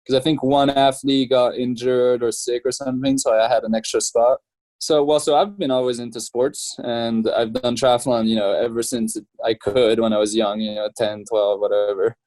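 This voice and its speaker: A man in his twenties from France, chatting casually as an interview guest, relaxed and laughing often. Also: mid-range voice, normal speed, no echo, rough recording. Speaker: professional triathlete, guest.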